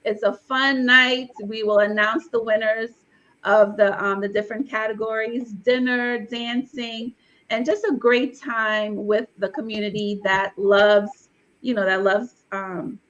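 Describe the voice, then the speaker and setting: A woman's voice: fairly high pitch, steady tone, a little slow, fairly bright tone, muffled recording. A woman hosting an online awards meet and greet over a video call, upbeat and reading viewer comments aloud. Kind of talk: virtual event hosting. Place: Atlanta.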